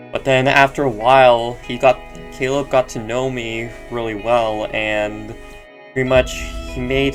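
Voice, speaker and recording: Slow, soft-spoken male reaction host. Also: mid-range voice. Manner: soft-spoken, hesitant, with repeated restarts. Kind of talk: casual reaction commentary.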